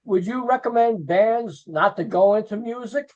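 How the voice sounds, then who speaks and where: A man's voice: high voice, moderate pace, a little mellow. A man, interviewing guests over a video call in a chatty way. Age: 60s. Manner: chatty, with many fillers. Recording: dull lo-fi video call audio.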